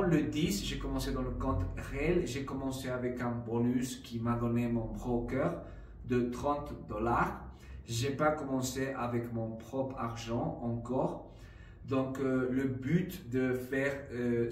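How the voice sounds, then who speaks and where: A man speaking French as a second language, vlogging in a slow, halting way with many fillers and frequent "d'accord?" checks to the viewer. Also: medium pitch, darkish tone, recorded from a distance, slight background noise. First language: not French. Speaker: trading vlogger. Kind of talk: casual explanatory vlog. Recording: roomy, voice a bit off-mic, faint ambience.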